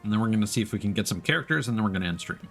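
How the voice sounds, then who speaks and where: Creaky-voiced man rambling over game music. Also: fairly low pitch, lively intonation, rapid-fire. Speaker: video game streamer. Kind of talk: casual live gameplay commentary. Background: video game music.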